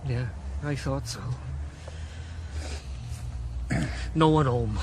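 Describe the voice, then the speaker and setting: An actor playing a nervous, slow-witted male sidekick with a Welsh accent, dim and jittery. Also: mid-range voice, darkish tone, slight background noise. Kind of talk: 1980s radio drama acting.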